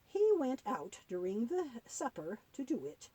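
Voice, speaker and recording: A woman voicing a kindly, patient old granny puppet who tells Bible stories to children in a halting, gently instructive way. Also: on the high side, big pitch swings, breathy edge, no room sound, voice pushed down, exaggerated voice. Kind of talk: puppet-show storytelling for children.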